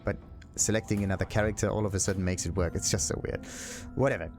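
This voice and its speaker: A man's voice: low-pitched, fairly even intonation, quick pace, over music. A man giving casual, rambling video game commentary as a let's play host, thinking aloud to himself.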